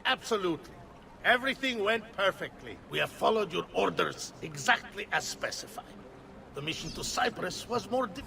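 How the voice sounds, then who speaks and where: An actor playing a stern, obedient guard captain in a video game, speaking in a clipped, formal, commanding way. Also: male voice, high-pitched, lively intonation, moderate pace, gravelly, noisy background. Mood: eager, deferential. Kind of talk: video game cutscene acting. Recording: music behind the voice.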